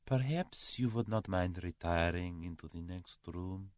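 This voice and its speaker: A man voicing a cowardly, haughty aristocrat in an audiobook story, formal and halting. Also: big pitch swings, no room sound, studio quality. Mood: guarded, courteous. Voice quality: soft-spoken, high when agitated.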